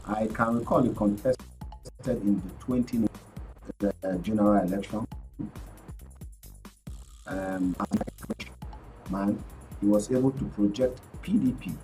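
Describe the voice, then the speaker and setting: Man, Nigerian English accent, panel guest, low voice, measured. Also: a little mellow. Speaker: journalist and media analyst guest. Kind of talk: panel discussion commentary.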